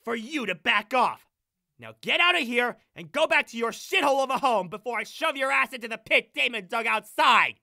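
A man's voice: very high voice, animated, normal speed, gravelly, pushed and bright, exaggerated voice. A man playing a protective, tough and brooding teenage boy who speaks in clipped sentences, in an audio drama. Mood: angry, threatening.

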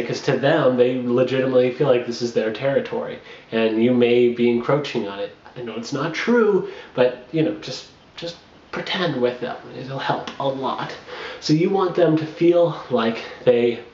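A man's voice: mid-range voice, a little mellow, echoey room. A young man in his twenties chats advice to camera, full of restarts and filler words. His mid-range voice bounces around a small bare room. The camera audio is dull and lo-fi. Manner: rambling, frequent fillers and restarts, laughs between points. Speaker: travel blogger. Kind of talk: casual advice vlog. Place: small bedroom with bare wall. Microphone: camera on a makeshift tripod.

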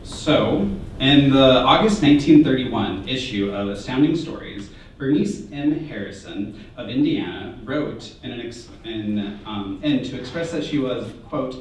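A young man giving a conference presentation in a university classroom, soft-spoken and chatty, with self-deprecating asides and many fillers. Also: mid-range voice, animated, unhurried, few pauses. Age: young adult. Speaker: graduate student presenter. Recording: echoey classroom from far off, noisy.

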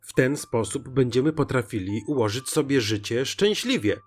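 A man narrating a scripted children's fairy tale in an expressive, dramatic way. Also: medium pitch, moderate pace. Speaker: storyteller. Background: music.

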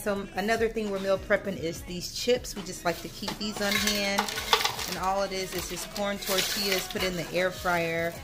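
A woman in her thirties chatting and teasing while filming a cooking vlog in her home kitchen. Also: medium pitch. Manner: chatty, teasing, laughs easily. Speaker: vlogger. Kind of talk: casual cooking vlog chat.

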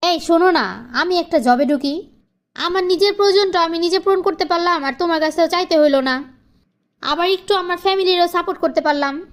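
Very high-pitched frustrated young wife acted by a woman. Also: a little fast. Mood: aggrieved, earnest. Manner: plaintive, earnest, emphatic complaining. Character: frustrated young wife, pleading then defiant about independence. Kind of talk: cartoon family drama dialogue.

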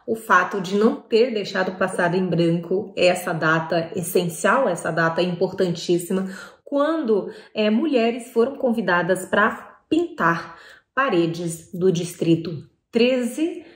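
A woman's voice: mid-range voice, animated, slow pace. Woman vlogger in an echoey room. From Paris, France. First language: French. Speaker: vlog host. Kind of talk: casual talk to camera. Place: small bright room indoors.

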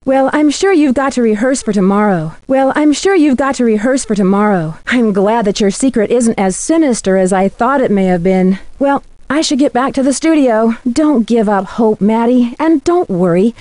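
A woman playing an earnest, sharp young female detective in a video game, speaking inquisitively and with clear diction. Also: on the high side, animated, normal speed, few pauses, dry recording, natural voice. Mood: friendly, earnest.

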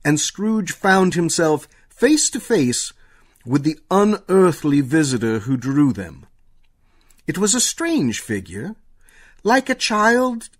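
A man narrating a scripted radio play as a storyteller, with measured dramatic delivery. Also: fairly high pitch.

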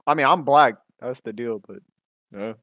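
A young man from the DMV area on an online call, trolling with a deadpan, filler-heavy delivery. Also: medium pitch, moderate pace, dry recording. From DMV area, USA. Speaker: caller on a stream. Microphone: online call mic.

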